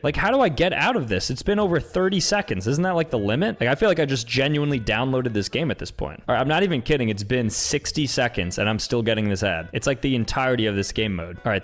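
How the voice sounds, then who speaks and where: A young man hosting a casual gaming commentary, ranting in exasperation, with his voice jumping high when he is outraged. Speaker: YouTube host.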